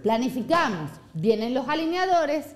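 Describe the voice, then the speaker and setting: Woman orthodontist lecturing live, animated, keeps asking "ok?", small room sound. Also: sits high, moderate pace. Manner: animated, gestures a lot, checks in with "¿ok?". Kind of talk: live seminar presentation. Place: small seminar room with audience.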